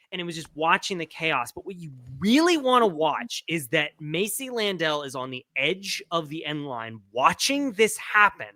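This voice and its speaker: A man co-hosting a podcast, giving casual soccer analysis in an animated, emphatic way. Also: on the high side, no room sound, studio quality. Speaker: podcast co-host.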